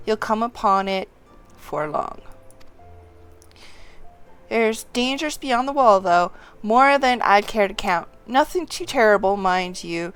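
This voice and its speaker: A woman's voice: mid-range voice, fairly bright tone, clear, resonant tone. A woman reading a fantasy game quest-giver in character, folksy and helpful, with colloquial, old-fashioned turns of phrase.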